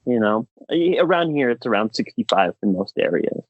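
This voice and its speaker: A man's voice: mid-range voice, very animated, normal speed, dry recording, narrow, tinny audio. A young American man co-hosting a podcast, delivering a comedic rant in an exasperated, emphatic, theatrical manner. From United States. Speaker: podcast co-host.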